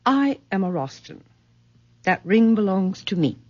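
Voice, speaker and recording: A woman's voice: medium pitch, big pitch swings, measured pace, darkish tone. An actress playing an imperious, bitter middle-aged mother in a haughty, formal, commanding old-time radio style. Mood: bitter, defiant. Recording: dull, lo-fi vintage radio recording.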